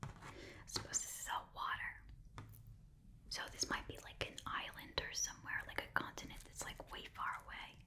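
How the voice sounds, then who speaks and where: A woman voicing a shrewd, chatty goblin shopkeeper in a breathy whisper, rambling and muttering to herself as she haggles in an ASMR roleplay. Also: normal speed, pushed and bright. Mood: appraising, skeptical. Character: shrewd, chatty goblin pawn shop keeper.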